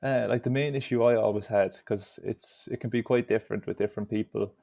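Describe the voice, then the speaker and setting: A man's voice: fairly low pitch, fairly even intonation, a little fast, gravelly, warm tone, no room sound, clean studio sound. A young Irish man answering interview questions in an easygoing way, with lots of "you know". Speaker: interview guest.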